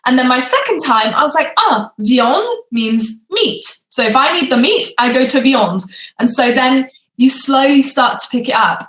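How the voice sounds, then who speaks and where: A woman's voice: high voice, expressive, moderate pace, a bit bright, roomy sound, low-quality recording. A woman English tutor chatting casually with a student on a video call, often saying "you know". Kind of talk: casual conversation.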